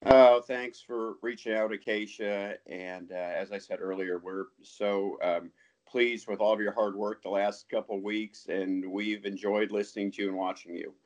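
Low-voiced man, measured and hesitant. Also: steady tone, darkish tone. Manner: measured, hesitant, with many ums and restarts. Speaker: guest, sales announcer. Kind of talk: casual podcast interview.

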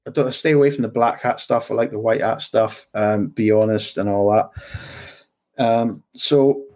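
A man's voice: on the low side, steady tone, a little slow, a little mellow. Rambling Scottish man, casual talk. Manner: rambling, repeats "do you know what I mean". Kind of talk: casual conversation.

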